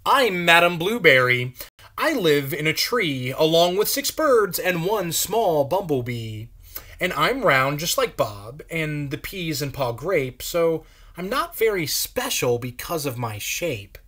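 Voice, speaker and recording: A man's voice: on the high side, big pitch swings, takes it slowly, sharp tone, full character voice. A man voicing a round lady blueberry with a genteel manner, in rhyming storybook lines. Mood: wistful, proud. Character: Madame Blueberry, round blue lady berry.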